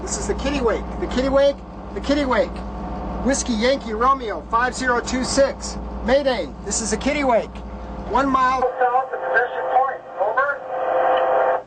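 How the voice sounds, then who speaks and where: Frightened female skipper, played by an actress, very high voice, urgent repeated radio calls in a maritime safety drama, over clear engine noise. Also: moderate pace, pauses often, lightly acted. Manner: urgent, repeating herself, stammering. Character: panicked female skipper of a sinking fishing boat. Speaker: boat radio operator making a distress call. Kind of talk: dramatized marine radio distress call. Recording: noisy radio with engine rumble.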